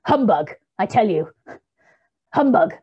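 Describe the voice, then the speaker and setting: Brief: woman playing a jittery old miser, raised voice, husky tone, storybook reading. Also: medium pitch, unhurried, dull audio. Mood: defiant. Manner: nervous, pleading. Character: frightened old miser man, defensive.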